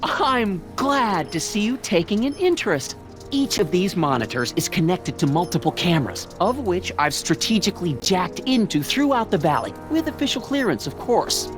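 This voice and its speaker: A man's voice: high-pitched, very animated, normal speed, music in the background. An actor voicing a nerdy, self-important middle-aged American father, fussy and officious, in a video game. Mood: proud, officious.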